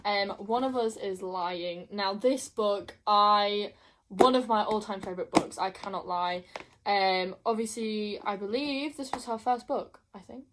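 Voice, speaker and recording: A woman's voice: medium pitch, measured pace, a bit bright, a little hiss or hum. A young woman chatting casually as a book vlogger, emphatic and gushing, often repeating herself. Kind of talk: casual chat to camera.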